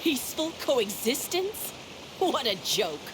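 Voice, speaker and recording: A woman's voice: sits high, very animated, slow, noisy background, exaggerated voice. A woman playing a hostile, scornful townswoman in a video game, speaking with heated contempt. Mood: contemptuous anger. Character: scornful hostile townswoman driving outsiders away.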